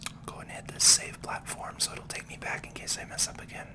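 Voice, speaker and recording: Asmr gamer whispering thru a playthrough, gentle n unhurried, all breath no voice. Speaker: gaming video host. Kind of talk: ASMR whispered game commentary.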